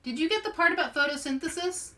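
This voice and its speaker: A woman voicing an eager, curious, bookish young girl in a storybook, with excited, inquisitive delivery. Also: very high-pitched, quick pace, far from the mic, voice pushed up, exaggerated voice.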